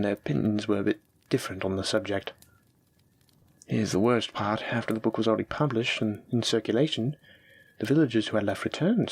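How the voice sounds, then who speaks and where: A man acts out a gentle dragon-blooded librarian speaking to a partner in a roleplay audio drama. The voice is male, mid-pitched and a little husky, with an earnest, explanatory manner that aims to reassure. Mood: earnest, rueful.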